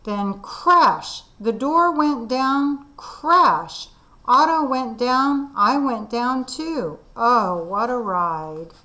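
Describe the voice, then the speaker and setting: A woman teacher reading a children's storybook aloud, expressive and deliberate. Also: a little high, poor audio. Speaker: kindergarten teacher.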